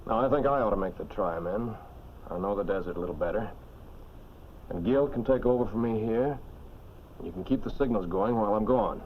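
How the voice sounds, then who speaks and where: Male actor as decisive stranded skipper, lowish measured delivery, vintage film audio, dull with some noise. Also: close to an everyday voice. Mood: firm, resolved. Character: steady, decisive airplane skipper stranded in desert. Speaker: actor in a military training film. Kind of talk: 1940s film acting. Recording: dull, noisy 1940s film soundtrack.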